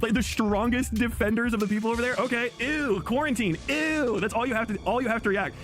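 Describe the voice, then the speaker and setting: A man's voice: very high voice, a little fast, fairly bright tone, soft background music. A man casually ranting to his livestream audience in a profane, disgusted way.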